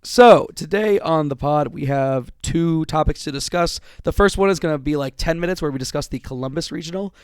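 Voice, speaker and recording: A man's voice: fairly high pitch, a little fast, few pauses, a little mellow, clear, resonant tone, no echo, clean studio sound. A man hosting a casual podcast with a sore, worn-out voice, rambling, swearing casually and restarting his sentences. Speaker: podcast host.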